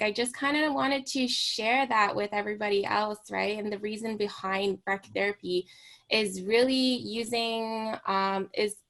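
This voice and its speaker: A woman's voice: medium pitch, a bit bright. Young woman from Hong Kong, recreation therapist, casual radio chat, lots of "like" and "right?". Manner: chatty, many fillers and "right?" tags. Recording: radio studio interview.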